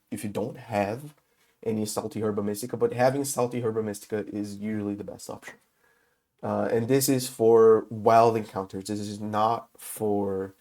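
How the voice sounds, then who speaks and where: A man who is sick with a cold, talking through a casual game tutorial in English, his second language, with many pauses and filler sounds. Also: lowish voice, darkish tone. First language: not English. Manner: halting, many filler uhs. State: has a cold. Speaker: gaming video host.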